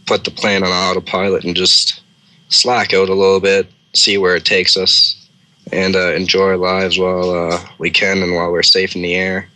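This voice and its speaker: Guy with a really low, bright voice just casually making up a story as co-host. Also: completely flat delivery, normal speed.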